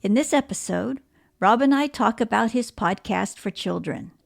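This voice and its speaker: Woman podcast host with slightly creaky voice. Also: on the high side, animated, moderate pace, faint music behind. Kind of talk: scripted podcast introduction and interview.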